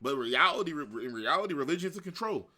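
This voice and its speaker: A man on a casual rant, blunt and full of slang and curses. His voice sits a little high and sounds bright and forward. He talks loose and animated, repeating himself for emphasis. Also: fast talker.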